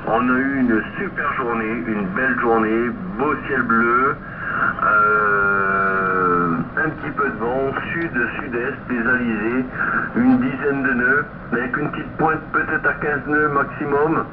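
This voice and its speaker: A man's voice: medium pitch, steady tone, slow. Man on a very noisy radio link. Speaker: amateur radio operator. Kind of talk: radio contact report, unscripted. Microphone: radio microphone. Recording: very noisy, phone-like radio transmission.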